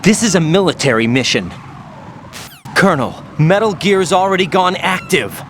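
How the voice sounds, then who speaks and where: A man's voice: sits high, lively intonation, moderate pace, a bit bright, a little hiss or hum. An actor playing an idealistic, questioning young male soldier, incredulous and insistent, in a video game. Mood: agitated. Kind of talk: dramatic video game acting.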